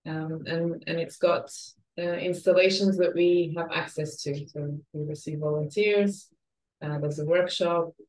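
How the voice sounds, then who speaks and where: A woman's voice: low voice, steady tone, measured pace, a little mellow, rough recording. A woman from southern Portugal answering as a podcast guest in a reflective, hesitant, conversational way.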